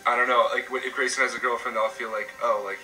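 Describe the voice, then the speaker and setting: A man's voice: mid-range voice, little pitch movement. A young man speaking unsurely, with many fillers. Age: young adult. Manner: fast, unsure, filler-laden. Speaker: interviewee. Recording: distant voice with music behind.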